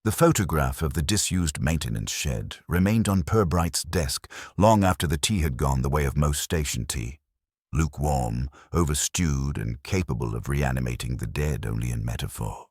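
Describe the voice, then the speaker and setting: A man narrating a scripted detective story in a measured, dramatic manner. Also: very deep voice, lively intonation, a little mellow, breathy edge, no echo, clean studio sound.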